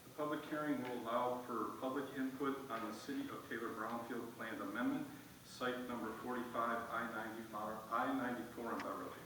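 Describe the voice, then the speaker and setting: A man's voice: medium pitch, fairly even intonation, brisk, sharp tone. A man making formal announcements as mayor at a council meeting. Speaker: mayor presenting motions at council. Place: indoor council meeting room. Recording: very echoey room, distant mic, clear noise.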